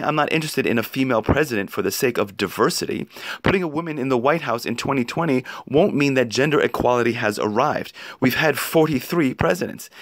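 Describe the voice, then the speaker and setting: Animated male reader, close dry sound. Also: mid-range voice, no echo. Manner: emphatic, rhetorical delivery with pointed questions. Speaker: narrator reading an article aloud. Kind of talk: expressive read-aloud of an opinion essay.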